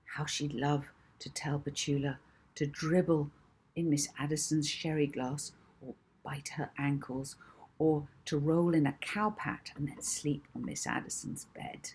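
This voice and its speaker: A woman author reading her own children's book as expressive audiobook narration. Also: low-pitched, a little slow, slightly rough.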